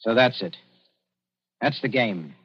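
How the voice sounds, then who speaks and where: An actor playing a shrewd, composed young colonial official in a 1940s radio drama, speaking in a measured, dryly ironic way. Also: male voice, medium pitch, expressive, breathy edge. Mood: accusing. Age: young adult. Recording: dull, muffled 1940s radio recording.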